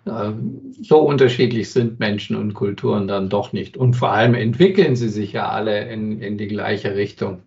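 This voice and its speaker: A man with a gravelly voice explains his views as an interview guest, pausing often to search for words. He sounds set back from the microphone. The recording is a little dull. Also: on the low side, a little mellow. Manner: thoughtful, frequent hesitation fillers and restarts. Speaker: industry consultant as interview guest. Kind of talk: conversational interview answers. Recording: dull sound from some distance.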